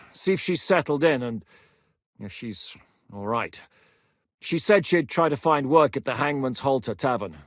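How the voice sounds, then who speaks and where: A man's voice: fairly high pitch, no room sound, natural voice. An actor playing a grizzled male war captain in a medieval video game, blunt, commanding and dryly sardonic, recorded in a studio booth. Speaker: mercenary leader in a medieval game.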